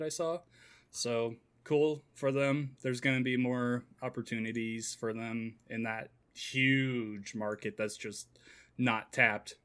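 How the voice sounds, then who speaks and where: A man with a medium-pitched voice talks casually on a gaming podcast. He comes across as an enthusiastic fan giving his opinions as a panelist. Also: a bit bright, clean tone.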